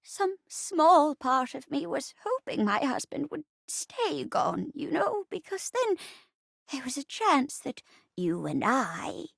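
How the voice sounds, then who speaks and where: A woman voicing a theatrical old woman who is a living chair in a video game, with stagey gasps and hushed asides. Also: very animated, slow, vocal fry, dry recording, clean studio sound, heavily acted. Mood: shocked, confiding. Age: older. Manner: stagey, gasping, swooping from hushed asides to high cries. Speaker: datable game character. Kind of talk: video game voice acting, murder-mystery melodrama.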